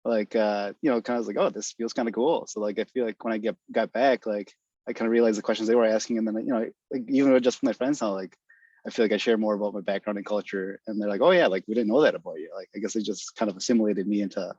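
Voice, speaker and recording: This is a young man with a lowish voice who talks quickly, over dull, lo-fi video call audio. He hedges a lot with "uh," "like," and "I guess." The sound is dry. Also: steady tone, a little mellow, slightly rough, no room sound. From United States (Wisconsin), born in Guatemala. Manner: hesitant, lots of "uh," "like," "I guess". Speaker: study abroad alumnus panelist. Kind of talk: casual panel answer.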